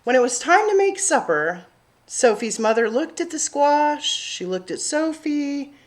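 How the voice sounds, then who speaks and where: A woman with a high voice leads a story time for young children. She takes her time, with wide swings of pitch and an expressive, animated delivery as she talks to them and reads aloud. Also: unhurried.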